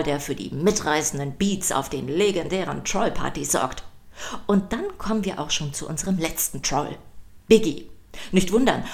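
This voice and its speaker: A woman hosting a children's podcast, reading scripted narration in a playful, emphatic storytelling manner. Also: lowish voice.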